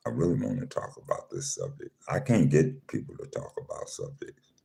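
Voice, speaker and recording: Very deep, gravelly man hosting a live room. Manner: slow, calm, repeats himself, reads names off a screen. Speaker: host of a live audio chat room. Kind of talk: casual livestream chat, greeting listeners.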